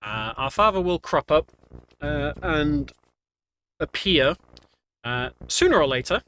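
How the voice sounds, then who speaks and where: A man's voice: fairly high pitch, animated, slow pace, pushed and bright, a little hiss or hum, low-quality recording. A man giving casual, slightly hesitant gameplay commentary as a speedrunner, often correcting himself as he goes. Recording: game music behind voice. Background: game music and sounds.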